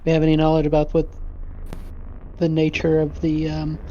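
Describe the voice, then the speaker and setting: Quick, flat-toned man, muffled recording. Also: fairly high pitch. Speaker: player in a tabletop roleplaying game. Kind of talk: in-character game dialogue. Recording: dull lo-fi sound, faint noise.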